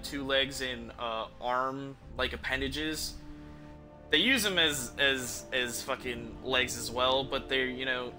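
A man's voice: medium pitch, pushed and bright. A man in his thirties giving casual gameplay commentary, musing and rambling with some casual swearing. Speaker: streamer. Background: game music.

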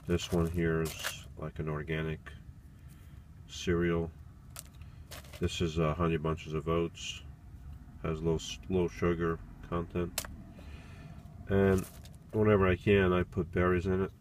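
A man in his forties speaks slowly in a deep, low voice. He chats casually and thinks aloud, with plenty of fillers and restarts. He records inside a small van on a camera set nearby, with a faint hum behind him. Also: level tone. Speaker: van life vlogger. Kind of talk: casual how-to vlog.

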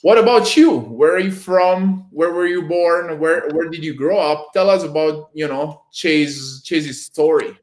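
A young Italian man with an Italian accent, hosting an interview over a video call and asking measured questions. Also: on the high side. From Italy. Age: young adult. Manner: measured, slow questions with many fillers. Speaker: host, performance nutritionist. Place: home room with bookshelves. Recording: echoey room on a video call.

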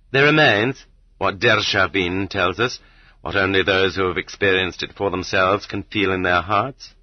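A man narrating a literary audiobook in a deliberate, rhetorical, sardonic manner, with a husky voice, on a dull cassette transfer. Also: deep voice, no echo.

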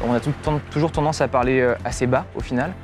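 A man's voice: medium pitch, fairly even intonation, quick pace. A young man, a law student, speaking in a street interview with frequent hesitations. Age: young adult. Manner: hesitant, many "euh" fillers. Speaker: law student, association president. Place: outdoors in front of a building. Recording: music bed under the voice.

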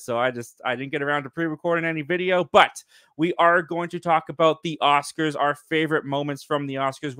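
A man in his twenties hosting a casual live movie chat show, talking quickly and cheerfully with lots of fillers, close to a boom mic in a home studio. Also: a bit bright, dry recording. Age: 20s. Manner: chatty, quick and upbeat, with frequent fillers. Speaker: livestream host. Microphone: boom mic in front of face.

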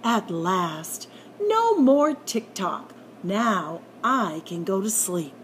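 A woman's voice: mid-range voice, sing-song, drawn-out words, a little hiss or hum. A woman voicing a fussy, sleepless male rabbit in a children's storybook, with whiny, dramatic delivery. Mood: relieved.

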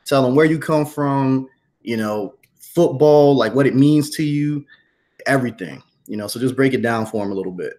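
A man's voice: mid-range voice, moderate pace, a little mellow. A man in his thirties hosting a casual, slangy livestream chat from a room at home over a webcam. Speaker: show host, entrepreneur. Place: dim room at home, indoors. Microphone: webcam video call.

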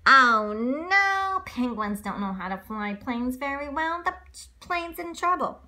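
A woman's voice: high voice, very animated, slow pace, bright, forceful tone. A woman reading a children's story aloud in a playful, exclamatory way, with ad-libs along the way.

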